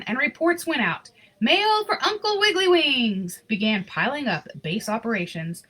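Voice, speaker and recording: A woman reading a children's picture book aloud in an expressive, unhurried, sentimental way, with casual asides. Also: fairly high pitch, husky, rough recording. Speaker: storybook reader.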